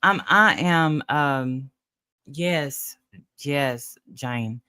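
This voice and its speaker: A woman from Tennessee, co-hosting a casual live podcast, stuffy from her sinuses and often halting and restarting. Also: extremely low pitch, pushed and bright, smooth, dry recording. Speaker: podcast co-host. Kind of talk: casual chat with live viewers.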